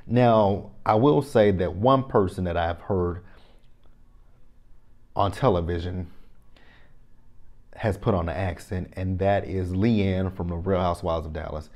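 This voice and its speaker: A man from Texas in his thirties with a Texan accent, giving an animated, opinionated reality TV recap straight to camera on a lapel mic in a small room. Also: low-pitched, measured pace, pauses often. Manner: animated, repeats phrases, talks straight to viewers. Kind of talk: casual opinionated review to camera. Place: small indoor room, plain backdrop.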